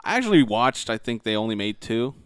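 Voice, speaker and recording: A young man chats casually as a podcast co-host, excitable and slangy. His voice has a very animated pitch that jumps around, and the recording is dry and close. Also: brisk, smooth, no echo. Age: young adult. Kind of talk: casual gaming chat.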